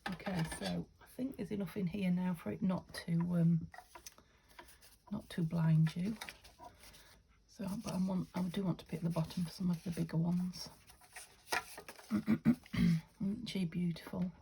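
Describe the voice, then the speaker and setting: A woman from the UK chatting through a craft-along, thinking aloud and often dropping to a half-whisper, her voice a little croaky. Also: fairly low pitch, steady tone, a little slow, long pauses, background noise. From United Kingdom. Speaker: craft vlogger. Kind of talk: casual craft-along chat.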